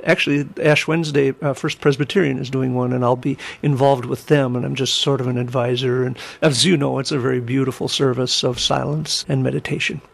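A man's voice: medium pitch, little pitch movement, a little fast, vocal fry, few pauses. A middle-aged man, telling his story reflectively as an interview guest. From Wisconsin, United States. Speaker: interview guest, singer and musician.

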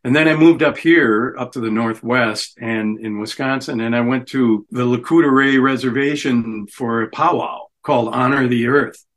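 A middle-aged man talking in a relaxed, chatty way as a musician guest on a radio show. His voice sits at an ordinary middle pitch, and he laughs easily as he tells his stories. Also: moderate pace, few pauses. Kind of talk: casual radio interview chat.

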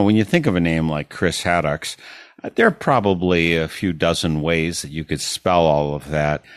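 Male community radio host, low voice, earnest, careful spelling-out, no room sound. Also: dry recording, studio quality. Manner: earnest, spells out names and web addresses.

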